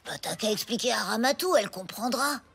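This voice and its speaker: A woman voicing a calm, sympathetic young schoolboy, the sceptical best friend in a French cartoon, dry and low-key. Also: fairly quick, creaky, husky, exaggerated voice.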